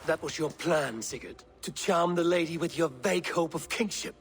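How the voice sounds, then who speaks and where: An actor playing a level-headed, wary Viking warrior in a video game, speaking in a measured, sober way. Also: male voice, fairly high pitch, faint music behind, lightly acted. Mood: exasperated.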